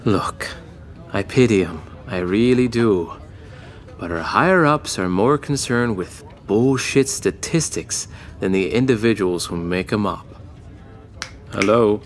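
An actor playing a sardonic, worn-out homicide detective in an audio drama, grumbling and blunt. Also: male voice, on the low side, expressive, unhurried, slight background noise. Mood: exasperated. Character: weary, cynical, sardonic male homicide detective.

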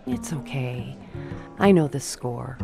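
A woman's voice: very deep voice, animated, slow pace, vocal fry, loud music behind the voice, exaggerated voice. A woman playing a wistful, wry 1950s nightclub torch singer in a radio drama, dry and world-weary. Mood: wry, resigned.

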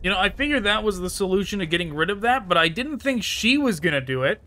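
A man's voice: high-pitched, animated, fast, sharp tone. A man giving casual gameplay commentary, offhand and talking to himself as he plays. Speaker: gaming channel host playing the game.

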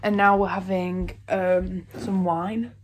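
A woman's voice: lowish voice, level tone, slow. An eighteen-year-old woman, chatting casually and giggling easily as she vlogs. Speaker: vlogger. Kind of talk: casual vlog chat. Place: holiday lodge rooms and outdoor hot tub. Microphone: phone at short distance. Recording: noisy handheld home video.

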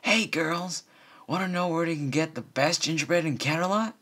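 A man's voice: on the high side, moderate pace, bright, forceful tone, husky, pitched above the performer's own voice. A man plays a confident young unicorn mare in a storybook reading. The voice is lifted above his own, casual and upbeat. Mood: excited. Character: cheerful, confident young female unicorn pony.

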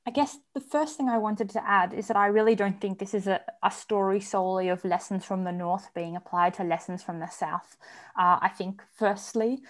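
A woman's voice: mid-range voice, steady tone. A woman in her thirties with an Australian accent, speaking as a panelist on an online panel from her home, earnest and fluent. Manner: earnest, fluent, measured with some restarts. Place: home room with bookshelves. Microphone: video call, earphones.

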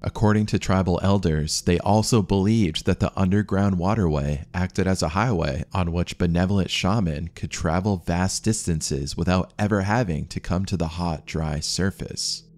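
A man with a very low voice reads a scripted documentary narration. He keeps a measured, steady storytelling pace throughout. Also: no room sound.

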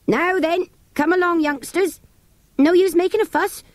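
A woman voicing an officious working-class English policeman in a storybook, brisk and colloquial. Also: very high-pitched, no echo, voice pushed up.

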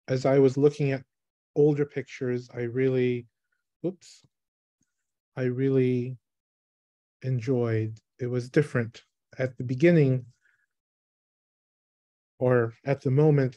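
Slow, mellow man presenting on a video call. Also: medium pitch, steady tone, no echo, muffled recording. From Philippines. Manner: slow, thoughtful, with pauses and restarts. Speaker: photographer giving a talk. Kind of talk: online presentation. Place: small room, indoors. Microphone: webcam on a video call.

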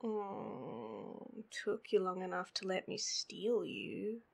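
A sleepy, needy girlfriend played by a woman with an Australian accent, whining playfully at bedtime. Her delivery is slow and drawled, with a creaky, husky voice. It is intimate roleplay audio, spoken right to the listener. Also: medium pitch, full character voice. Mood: sleepy, pleading. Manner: drowsy, whiny drawl with sighs and hums.

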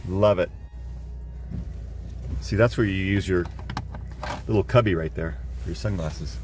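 Un homme commente avec enthousiasme un trajet en voiture sous la neige et filme au téléphone depuis le siège passager. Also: male voice, low-pitched, a little slow, soft background music. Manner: upbeat, chatty, easily impressed. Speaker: channel host filming from the passenger seat. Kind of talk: casual running commentary. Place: inside a car driving through a snowstorm. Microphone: handheld phone camera. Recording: loud car and road noise.

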